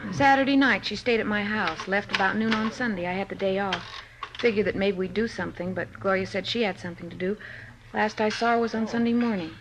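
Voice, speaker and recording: An actress playing a blunt, slangy, plain-spoken American coffee-shop worker in a 1950s radio drama. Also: female voice, medium pitch, steady tone, a little fast, natural voice. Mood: blunt, scornful. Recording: noisy old radio, typewriter clicking behind.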